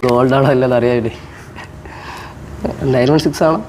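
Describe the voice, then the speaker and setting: A man's voice: mid-range voice, a little fast, darkish tone, faint music behind, poor audio. A man chatting casually and playfully as a prank host, teasing and repeating his questions insistently.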